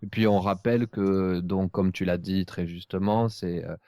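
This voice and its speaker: A man hosting a casual podcast discussion in a halting way, often rephrasing himself. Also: low voice, fairly even intonation, warm tone, smooth, no echo, studio quality. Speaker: podcast host.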